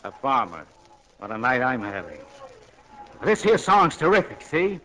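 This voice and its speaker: A man playing a pushy, flattering song plugger, slangy and effusive, in 1940s radio acting style. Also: medium pitch, exaggerated voice, rough recording. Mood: pleading, hyping. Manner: fast-talking hustler, slangy and effusive.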